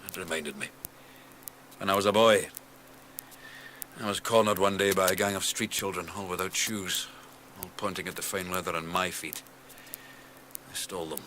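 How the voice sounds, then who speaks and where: Idealistic physician, lowish voice, earnest, insistent, secretive, radio drama, faint background noise. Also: male voice, fairly even intonation, pushed and bright. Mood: reflective. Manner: earnest, insistent, deliberate phrasing. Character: earnest, idealistic gentleman doctor with a secretive streak.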